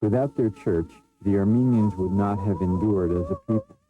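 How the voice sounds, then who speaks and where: Deep-voiced man narrating a documentary. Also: normal speed, mellow. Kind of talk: scripted first-person documentary narration. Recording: narrow lo-fi sound with faint music. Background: faint music.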